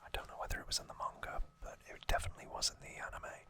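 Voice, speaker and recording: An ASMR host whispering a conversational recap in a breathy, unvoiced, hushed voice. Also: racing.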